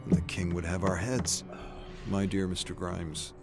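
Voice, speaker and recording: A formal company officer guarding secrets, acted out by a male narrator, speaks with polite, measured care. The voice is raised and pressing, over faint storybook-style music. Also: sits low, flat delivery. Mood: persuasive. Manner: measured, polite, hesitant phrasing.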